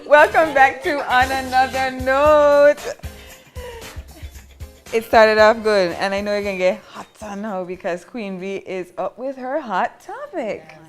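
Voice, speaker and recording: A woman's voice: on the high side, unhurried, faint music behind. A woman hosting a casual panel talk show, telling stories in a chatty way and laughing as she talks. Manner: chatty storyteller, laughs while talking.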